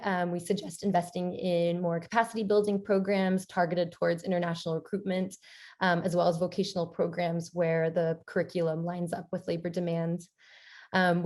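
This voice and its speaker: A woman's voice: fairly low pitch, a little mellow. A woman presenting on a panel as a programme director, hesitant, with frequent ums in the middle of her sentences.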